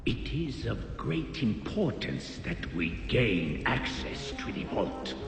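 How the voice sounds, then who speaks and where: A man's voice: lowish voice, sing-song, slow, gravelly, heavily acted. A man playing a cruel, impatient cult leader in a game, imperious and menacing in theatrical delivery. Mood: cold, imperious. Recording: reverberant chamber with loud music.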